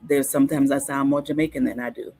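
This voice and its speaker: A woman's voice: a bass voice, steady tone, fast, mellow, husky. A woman from South Carolina, a podcast guest telling stories in casual conversation, thoughtful and often stopping to restart with ums. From Hilton Head, South Carolina.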